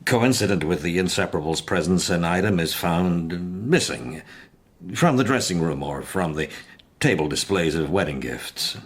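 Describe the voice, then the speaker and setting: An actor playing a worried, proud middle-aged gentleman in a period radio drama, hesitant and formal in his delivery. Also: sits low, expressive, moderate pace, breathy edge, exaggerated voice. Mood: troubled, reluctant. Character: worried, proud older society father, a gentleman client.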